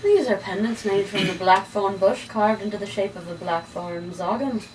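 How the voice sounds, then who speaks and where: A woman's voice: medium pitch, normal speed, natural voice. Lady doing a seductive creepy occult jazz singer, noir table read, distant mic, faint noise. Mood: knowing, helpful. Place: room at a script table read.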